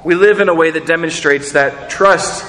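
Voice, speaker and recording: A man's voice: fairly high pitch, moderate pace, fairly bright tone, in a large hall, slight background noise, rough recording. A man preaching a sermon, earnest, asking rhetorical questions and repeating words as he builds each point. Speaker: pastor.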